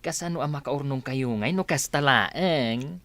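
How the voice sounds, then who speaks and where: An actress with a Filipino accent playing a concerned, sensible friend who gives measured advice in a radio drama. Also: female voice, rumbling low, a bit bright, slight background noise.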